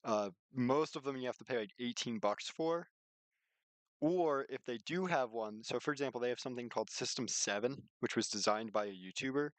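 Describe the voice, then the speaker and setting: A man's voice: medium pitch, normal speed, no echo. A man livestreaming in a casual, rambling, slangy way, chatting and explaining things informally on a close microphone. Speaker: live streamer and game developer.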